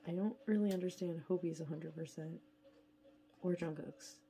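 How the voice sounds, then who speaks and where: A woman's voice: lowish voice, steady tone, normal speed, warm tone, slight background noise. Stuffy-nosed woman chatting over an unboxing. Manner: chatty, rambling, tired. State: stuffy nose from a cold. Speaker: unboxing vlogger. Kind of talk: casual unboxing chat. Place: indoors at a table, filming hands from above.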